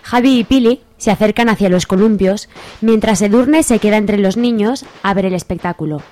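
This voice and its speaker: A woman's voice: medium pitch, moderate pace, dark tone. Narrator for a radio soap: woman, mid-range voice, scripted storytelling delivery.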